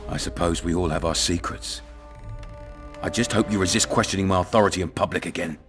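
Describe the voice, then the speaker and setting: An actor with a British accent playing a crude, cunning, menacing town commandant in a video game. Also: male voice, low-pitched, brisk, over music, lightly acted. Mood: veiled threat. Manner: domineering, coarse, swaggering. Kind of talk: video game dramatic dialogue.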